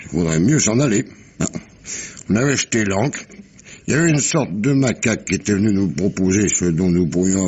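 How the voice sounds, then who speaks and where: Husky, swooping, tipsy sailor Pemberton. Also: male voice, sits low, darkish tone, exaggerated voice. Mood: conspiratorial. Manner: slangy, rambling, halting and repetitive, chuckling. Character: Pemberton, rough tipsy old sailor spinning a sea yarn. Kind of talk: 1950s French radio mystery acting.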